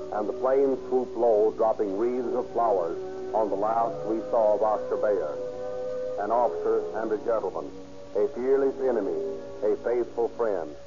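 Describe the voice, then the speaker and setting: A man giving a solemn tribute as a police chief, on a lo-fi old radio recording. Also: lowish voice. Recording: lo-fi old radio recording over loud music.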